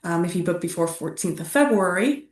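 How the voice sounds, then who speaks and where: A woman's voice: fairly low pitch, animated, fairly quick, echoey room, recorded from a distance. A woman hosting a travel webinar, upbeat and affirming, laughing often. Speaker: travel company host. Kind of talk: casual webinar chat.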